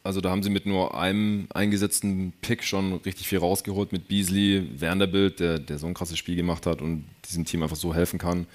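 A man's voice: low voice, little pitch movement, fairly quick, few pauses. A man hosting a live sports podcast, chatting in a colloquial, jokey way. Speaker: podcast host. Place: live stage show.

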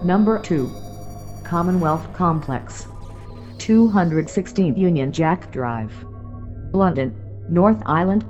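A woman plays a detached announcer for a sci-fi audio drama. Her voice is mellow and lowish, delivered very slowly in measured, official phrases. Soft music runs behind. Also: animated, lo-fi sound. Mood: neutral, official. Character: impersonal female announcer giving location and time. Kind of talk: clipped scene-setting announcement.

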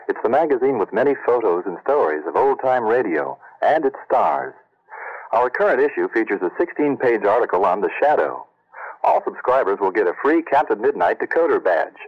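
A man playing a radio show host, reading an old-time mail-order advertisement. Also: fairly low pitch. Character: male horror-show host pitching a nostalgia magazine. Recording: dull, muffled radio recording.

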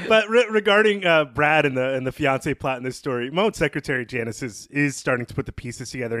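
A man co-hosting a podcast, giving casual literary commentary in reflective, halting phrases. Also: mid-range voice, fairly bright tone, no echo, clean studio sound. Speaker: podcast co-host.

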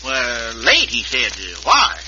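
A man's voice: medium pitch, huge pitch swings, slow, pushed and bright, lo-fi sound, full character voice. An actor playing an easygoing Western townsman in an old radio drama, talking in a hesitant, folksy way. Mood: amiable, hesitant. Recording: hissy old radio recording.

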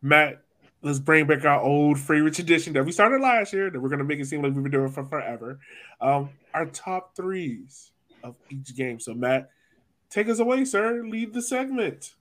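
Higher-pitched man, slangy podcast co-host. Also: animated, moderate pace, fairly bright tone. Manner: informal, slangy. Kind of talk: casual chat.

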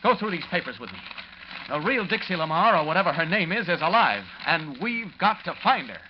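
An actor playing a resolute, steadying newspaper reporter, urgent and earnest, in 1940s radio drama style. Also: male voice, on the high side, very animated, brisk. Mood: determined. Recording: dull vintage radio recording, background noise.

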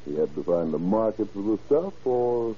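A sly drug-dealing boss in an old radio play. The voice is deep and rough-grained, with very lively inflection and an unhurried, falsely genial air. The sound is dull, like an aged broadcast. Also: male voice. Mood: sly, boastful. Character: shrewd, smooth-talking crime boss, drug seller. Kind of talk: 1940s radio drama acting. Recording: muffled old radio recording.

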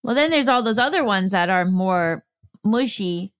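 Lively, nervously laughing woman. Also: mid-range voice, normal speed. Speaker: podcast co-host. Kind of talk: casual chat.

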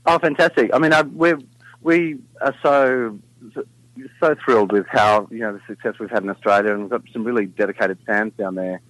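A middle-aged man with a deep baritone talks in an easygoing, meandering way, often restarting his sentences. His voice is fairly low and comes across close and dry, with no room sound. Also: fairly quick, dry recording, rough recording. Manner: relaxed, rambling with many fillers and restarts. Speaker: singer in a vocal group, guest. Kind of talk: casual interview chat.

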